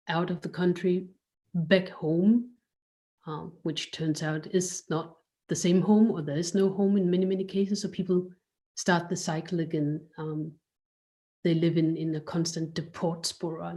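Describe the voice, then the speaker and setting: A woman's voice: lowish voice, dark tone. A woman giving reflective interview answers as a curator, hesitant and searching for words. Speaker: curator and art space director, guest.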